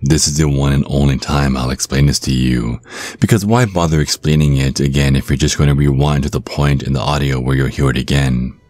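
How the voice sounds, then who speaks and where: A man's voice: a bass voice, darkish tone, no echo. A man playing a suave, predatory vampire stage magician in a hypnotic ASMR roleplay, taunting and domineering. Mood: smug.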